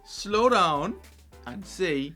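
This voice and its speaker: A man hosts a relaxed podcast chat in a light, rather high voice that is bright and forward. He talks in an animated way, laughs easily and sometimes trips over his words. Soft music runs faintly underneath. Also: extremely slow. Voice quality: light, high voice, not deep. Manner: animated, laughs often, sometimes stammers when caught off guard. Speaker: podcast host. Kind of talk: casual chat.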